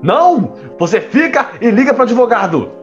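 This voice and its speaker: An urgent young man on the run played by a male actor in an audio drama. His voice is high and animated, and the recording is slightly dull. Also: moderate pace, faint music behind. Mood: tense.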